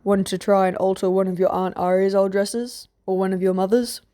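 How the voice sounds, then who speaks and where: A woman voicing a gruff, protective, foul-mouthed male guard with a growling, snapping manner in a fantasy audiobook. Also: mid-range voice, fairly even intonation, brisk, warm tone, lightly acted. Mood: gruff concern. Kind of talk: fan fiction audiobook character voice.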